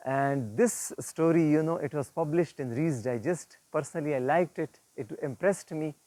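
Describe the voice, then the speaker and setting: A man informally retelling an inspirational moral story in an earnest, didactic way, with halting phrasing, frequent pauses and repeated words. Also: on the high side, mellow, no room sound. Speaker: storyteller and presenter.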